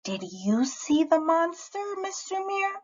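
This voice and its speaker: A woman's voice: very high voice, big pitch swings, slow, highs cut off, voice pushed up, exaggerated voice. A woman reading a storybook, voicing a curious, eager and earnest little girl. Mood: anxious, curious.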